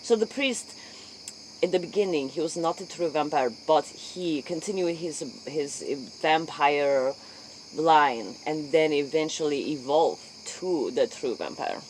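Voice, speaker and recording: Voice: mid-range voice, measured pace, low-quality recording. An inquisitive interviewer plays out a spoof late-night chat. The voice sits mid-range and speaks a put-on, foreign-sounding broken English. Faint noise with crickets and insects runs behind it.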